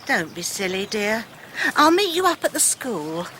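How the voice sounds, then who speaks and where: An actress playing a brisk, wry English mother in a naturalistic radio drama. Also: medium pitch, sing-song, sharp tone, slight background noise, poor audio. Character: brisk, wry divorced mother, protective of her daughter.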